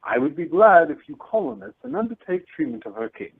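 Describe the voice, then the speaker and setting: A man's voice: fairly high pitch, very animated, fairly quick, slightly rough. A man playing a courteous, wry storyteller and letter reader in a radio play. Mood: courteous, grateful. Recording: narrow phone-like sound, faint music.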